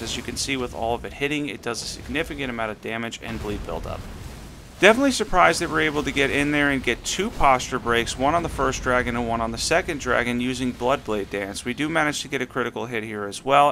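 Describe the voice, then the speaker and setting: A man with a hoarse voice from a cold, giving an upbeat commentary on a game build as a channel host. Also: mid-range voice, bright, forceful tone, slight background noise. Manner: upbeat, enthusiastic rundown.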